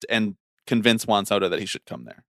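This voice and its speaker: A man's voice: fairly low pitch, big pitch swings, a little fast, fairly bright tone, dry recording, clean studio sound. A man in his twenties co-hosting a casual baseball podcast, laying out analysis in long, reasoned runs with repeated phrasing. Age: 20s. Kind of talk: casual sports talk, analysis.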